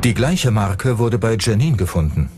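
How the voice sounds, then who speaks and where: Low-voiced male true-crime narrator over music. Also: big pitch swings, normal speed. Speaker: documentary narrator. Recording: music under the voice. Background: background music.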